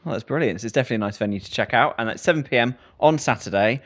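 A man with a mid-pitched voice hosts a relaxed radio interview. His delivery is chatty and playful, encouraging the person he talks to. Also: rapid-fire. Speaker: radio show host. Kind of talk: casual radio interview chat.

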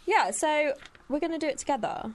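A woman hosting a casual podcast, upbeat and quick as she reads out game questions. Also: high-pitched, expressive. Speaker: podcast host.

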